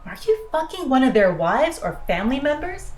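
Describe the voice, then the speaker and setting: A woman's voice: high voice, very animated, moderate pace, loud music behind the voice. Sardonic, teasing woman pilot in a roomy space. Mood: gleeful shock. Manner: dry, sarcastic, blunt, foul-mouthed teasing. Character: cocky, sardonic, teasing female pilot and secret astronaut. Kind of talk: naturalistic audio drama dialogue.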